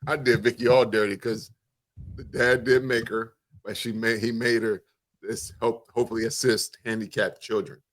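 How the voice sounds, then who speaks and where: A man with a mid-range voice and a bright, sharp edge. He hosts a podcast in casual banter, joking and rambling through his thoughts. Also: mid-range voice, steady tone, moderate pace, poor audio. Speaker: podcast host.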